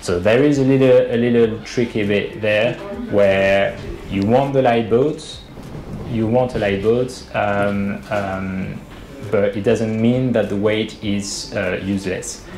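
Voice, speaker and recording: Deep, gravelly man on a roomy video call. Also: fairly even intonation, slow pace, crowd noise. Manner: hesitant, many fillers and restarts. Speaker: boat designer. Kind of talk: interview answers, explanatory. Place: indoor room, video call. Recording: roomy webcam audio with faint hiss.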